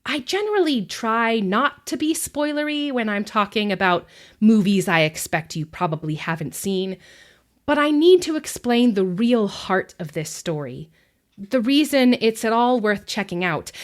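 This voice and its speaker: A woman narrating a scripted film essay, with measured, deliberate phrasing and emphatic pauses. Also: medium pitch, lively intonation.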